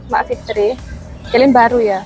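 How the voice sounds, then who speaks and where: A woman's voice: a little high, steady tone, moderate pace, a little mellow, faint music behind. A woman hosting a casual interview chat, echoing back what her guests say. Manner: echoes the guests' answers back. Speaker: host of a vlog, interviewer. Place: picnic gathering with friends.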